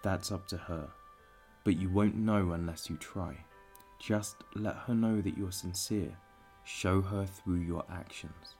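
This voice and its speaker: An actor playing a calm, courteous, wise bartender in an audio drama, speaking in a measured, formal way with a gravelly voice. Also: male voice, deep voice, soft background music. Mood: calm, advising.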